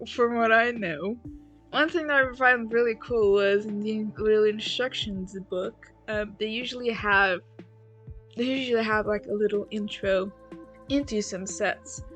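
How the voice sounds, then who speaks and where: A woman's voice: mid-range voice, a bit bright, rough recording. A woman whose first language is Portuguese, rambling casually and swearing now and then as she chats while building a toy set. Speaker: LEGO build vlogger.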